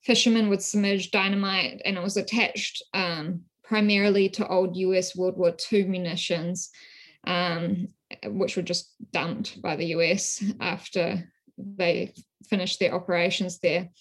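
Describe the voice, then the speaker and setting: A woman from New Zealand with a New Zealand accent, a scholar speaking in a thoughtful, measured way with frequent ums. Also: lowish voice, fairly even intonation. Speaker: guest scholar. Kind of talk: academic interview.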